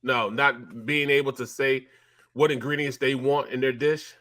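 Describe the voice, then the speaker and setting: A man's voice: mid-range voice, steady tone, measured pace, pushed and bright. Easygoing man in his late forties. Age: 40s. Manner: conversational, many fillers and repeats. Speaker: sports talk co-host, former player. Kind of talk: casual sports talk.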